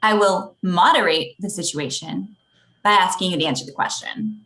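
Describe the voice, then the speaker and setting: A woman playing an officious debate moderator in a comedic table read, formal and deadpan. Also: on the low side, moderate pace, natural voice. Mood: firm, deadpan.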